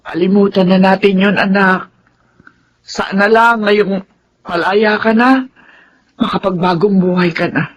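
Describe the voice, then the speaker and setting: A woman's voice: mid-range voice, measured pace, pauses often, muffled recording, natural voice. A woman with a Filipino accent playing a loving, emotional older mother in a radio drama, speaking tenderly. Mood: apologetic, tender. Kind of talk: Tagalog radio drama acting.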